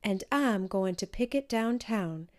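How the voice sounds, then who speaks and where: A woman's voice: medium pitch, lively intonation, measured pace, a little mellow, pitched above the performer's own voice, lightly acted. A woman voicing a reassuring, determined teenage big sister in a children's storybook, firm but kindly.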